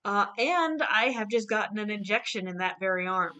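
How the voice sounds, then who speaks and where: A woman with a bright, forward voice hosts a livestream. She chats casually at a middling pitch. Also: lively intonation, moderate pace. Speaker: livestream host. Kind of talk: casual chat.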